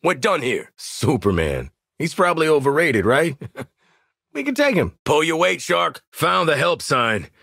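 An actor playing a tough, cocky, wisecracking marksman mercenary in a video game, with a gravelly American voice and a brash, slangy delivery. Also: a little high, big pitch swings, unhurried, bright, forceful tone, no echo, clean studio sound, exaggerated voice. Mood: brash, confident. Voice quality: gravelly, raspy. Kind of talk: video game combat voice lines.

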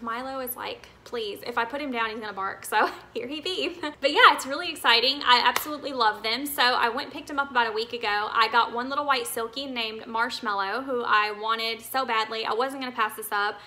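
A woman's voice: a little high, a little fast, hardly any pauses, pushed and bright, slight background noise. A woman in her thirties chatting casually and enthusiastically to her viewers as a vlogger, gushing as she goes. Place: indoor living room, seated.

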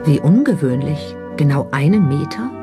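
Documentary narrator, medium pitch, scripted delivery over prominent music. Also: big pitch swings, moderate pace. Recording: music behind the voice. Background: background music.

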